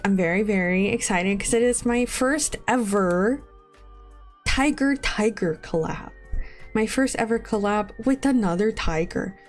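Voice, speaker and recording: A woman in her twenties who is sniffly with a cold, chatting casually and rambling on a live stream, laughing often. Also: medium pitch.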